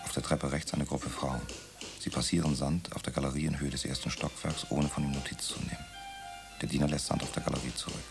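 Gravelly, very deep male narrator, calm, with music behind. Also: a little fast. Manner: calm, descriptive. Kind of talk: scripted radio drama narration.

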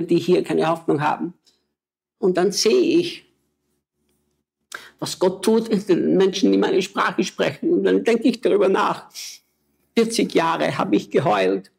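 Gravelly, husky preaching man. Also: high voice, darkish tone. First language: German. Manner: emotional, urgent, exhorting. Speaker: missionary preacher. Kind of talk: impassioned preaching in conversation.

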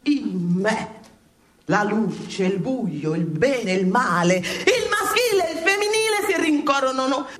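A proud, genderless deity declaims in a high voice that sweeps widely up and down. The delivery is solemn and theatrical, on an echoey stage with music behind it. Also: female voice, sing-song, slow, fairly bright tone, a little hiss or hum, full character voice. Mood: majestic. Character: proud sensual deity claiming to be God, beyond gender. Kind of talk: theatrical stage comedy acting.

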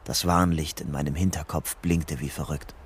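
A man's voice: rumbling low, steady tone, moderate pace, breathy edge, exaggerated voice. An actor playing a wary, troubled young man on the run in a crime radio drama, brooding and sardonic as he tells his story. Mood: brooding, narrating. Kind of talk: German crime radio drama, narration and dialogue.

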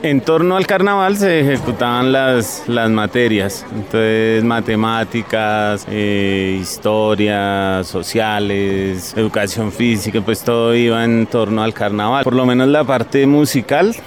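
A man with a Colombian accent casually recalling things in an interview, in a halting manner. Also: fairly low pitch, slight background noise. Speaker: interviewed former student.

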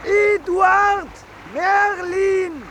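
An actor playing a polite painter calling out in drawn-out shouts in a 1950s German radio drama. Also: male voice, very high voice, fairly even intonation, full character voice. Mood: urgent, polite. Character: male painter being dragged off, shouting politely across a river. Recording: noisy old radio recording, water sounds. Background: splashing river water.

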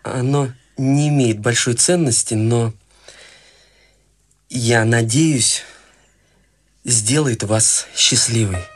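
A man's voice: medium pitch, slow pace, long pauses. An actor playing an earnest, devoted young man in a radio play, with a shy, sincere way of talking. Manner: earnest, shy, turns urgent when alarmed.

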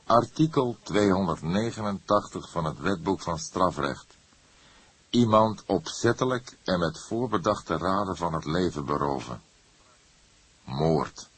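A narrator, a man, plays a grizzled veteran police detective from a crime audiobook. He is deep and very husky, speaking with deliberate authority and an unyielding temper. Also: animated, fairly bright tone, no echo, full character voice. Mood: stern, grave.

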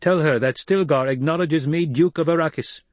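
A man voicing an intense young male desert leader in an audiobook, speaking with emphatic, deliberate command. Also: a little high, dry recording, pitched above the performer's own voice, close to an everyday voice. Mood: firm, commanding.

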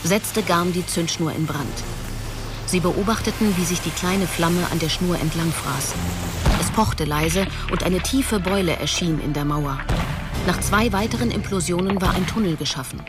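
Measured radio drama narrator over music. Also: medium pitch.

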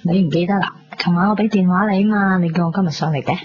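An actress playing a brash, gossipy young best friend in a radio drama, chatty and teasing with a Hong Kong Cantonese accent. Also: female voice, fairly low pitch, quick pace, darkish tone, natural voice. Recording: dull lo-fi 1990s radio with hiss.

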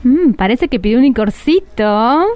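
A very high yet mellow young woman's voice with an Argentine accent , acted by a woman. She is a fretful, doubting accomplice in a radio play. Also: expressive, unhurried, faint music behind. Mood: casual. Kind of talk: radio drama acting.

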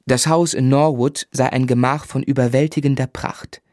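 Literary radio play lead: male actor as courteous, earnest young gentleman, medium pitch, measured formal delivery, dry studio sound. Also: fairly even intonation, no echo, lightly acted. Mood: reflective. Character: earnest, courteous young gentleman narrator hiding his loathing. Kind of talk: German literary radio drama, narration and dialogue.

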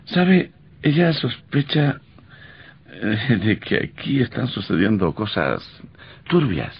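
Deep-voiced, wry young husband. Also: male voice, sing-song, takes it slowly, creaky, husky, full character voice. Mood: friendly, confiding. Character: easygoing young husband, teasing and gently skeptical. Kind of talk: old radio drama acting. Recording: dull, lo-fi old radio recording.

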